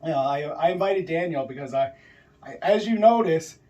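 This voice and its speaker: A man's voice: on the high side, lively intonation, normal speed, distant mic, muffled recording. A man hosting a casual podcast interview at a friend's home, chatty and joking, with lots of fillers. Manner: loud, jokey, filler-heavy with many yeahs. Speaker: podcast host.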